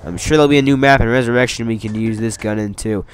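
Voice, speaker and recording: A young man talks quickly through his gameplay, his voice stuffed up from a cold. He rambles and corrects himself, staying upbeat. A faint hiss of noise sits behind him. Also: medium pitch. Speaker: gaming commentator. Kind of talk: casual gameplay commentary.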